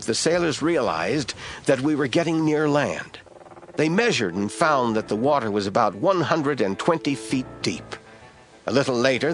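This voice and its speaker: A man narrating an audio drama in a measured storytelling manner. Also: mid-range voice. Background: soft music score.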